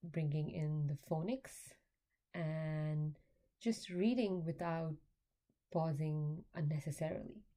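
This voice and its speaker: A young woman, a graduate student, hosting an educational podcast, explaining in a measured way and speaking directly to parents. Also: sits low, dark tone. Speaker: podcast host; graduate student in applied linguistics. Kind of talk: scripted educational podcast talk.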